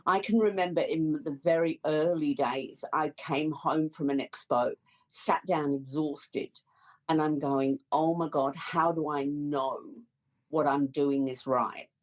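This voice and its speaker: An Australian woman speaks as a guest on a podcast call. Her voice is notably low for a woman, and she answers in a calm, measured manner. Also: rough recording. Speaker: psychic medium guest. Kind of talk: interview answers.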